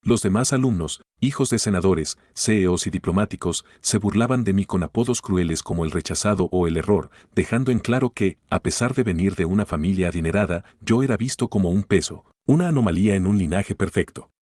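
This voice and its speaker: A man with a very low, deep voice tells a first-person story at a steady, measured pace. The sound is dry and close, with no room echo. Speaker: narrator.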